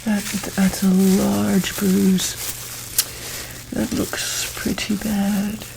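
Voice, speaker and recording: Female, soft ASMR medic roleplay, unhurried and even-toned, gentle and hesitant, noticeable background noise. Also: medium pitch. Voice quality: soft, breathy, often near whisper. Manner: hesitant, gentle, pausing often mid-sentence. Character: calm, attentive female medic examining a monster patient. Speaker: ASMR performer playing a monster hospital medic.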